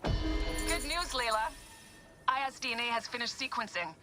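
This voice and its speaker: An actress playing an upbeat modern technical colleague giving updates in a video game. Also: female voice, a little high, measured pace, over music, close to an everyday voice.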